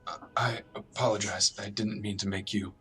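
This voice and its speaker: A man acting an uneasy, squeamish but conscientious doctor in a radio drama, speaking formally and haltingly in internal monologue. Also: lowish voice, steady tone, a bit bright, echoey room, recorded from a distance, slight background noise. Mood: uneasy, clinical. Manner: halting, formal, self-questioning.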